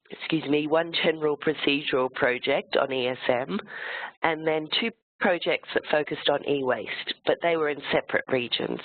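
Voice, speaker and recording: A webinar presenter with a cold and a croaky voice, explaining the material step by step, slide by slide. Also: medium pitch. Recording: tinny phone-like line, dry sound.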